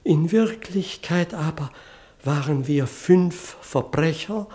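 A man's voice: on the high side, warm tone. An actor playing an earnest, distressed male country vicar who tells his story theatrically in a radio play. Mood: solemn. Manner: theatrical, solemn, deliberate storytelling. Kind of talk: German radio play acting.

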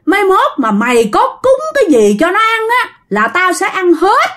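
A cruel spirit speaking through a possessed man as a woman storyteller acts it out, pitched high and swinging sharply. It makes crude, repeated threats in a Southern Vietnamese accent, in the manner of an oral ghost story. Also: expressive, moderate pace, fairly bright tone. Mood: vengeful, taunting.

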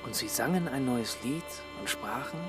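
A man's voice: mid-range voice, expressive, moderate pace, music in the background, lightly acted. A man playing a wary, challenging young brother of a nonhuman race in a radio drama. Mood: solemn.

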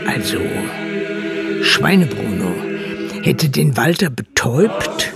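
A dry, gossiping village narrator. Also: male voice, mid-range voice, very animated, slow, vocal fry, loud music behind the voice, full character voice. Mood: wry.

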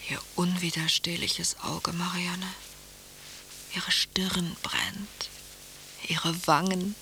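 An actress playing a coy, teasing, sensual beauty in a literary radio play, formally spoken, on a slightly hissy recording. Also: female voice, lowish voice, level tone, drawn-out words, creaky, full character voice. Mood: coy, tender.

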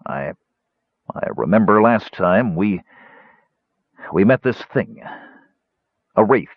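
A man doing a gruff, weary big warrior. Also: on the low side, expressive, slow, no room sound, exaggerated voice. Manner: gruff, muttering. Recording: dull, dry lo-fi recording.

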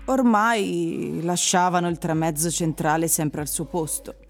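Woman narrator on a radio play, lowish pitch, bit of music behind her. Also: steady tone, unhurried. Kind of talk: scripted story narration for radio.

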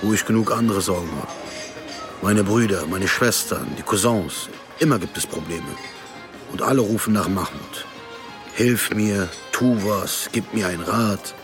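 Actor as a low-voiced, menacingly calm crime boss. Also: male voice, over music, lightly acted. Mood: weary. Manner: measured, paternal, veiled threats. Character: menacingly calm male crime-family patriarch and moneylender. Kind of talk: radio drama acting.

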